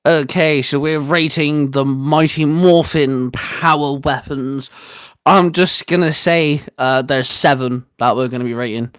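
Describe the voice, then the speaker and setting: A man's voice: a little high, steady tone, a little slow, a bit bright, clear, resonant tone. A man hosting a casual fan review video, chatting in an informal, conversational way. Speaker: host of a fan video channel.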